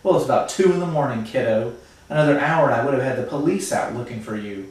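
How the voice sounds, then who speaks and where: A stern older brother loses his temper and scolds hard and loud, as a man reading the story aloud puts him on. The voice rises and pushes forward. It sounds distant and roomy. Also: medium pitch, animated, fast talker, natural voice. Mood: scolding. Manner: shouting, scolding. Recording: echoey room, far from the mic.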